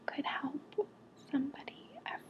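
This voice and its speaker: A woman whispering softly and breathily close to the mic, guiding a relaxation session in a gentle, reassuring manner. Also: measured pace, lo-fi sound. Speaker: ASMR host guiding relaxation.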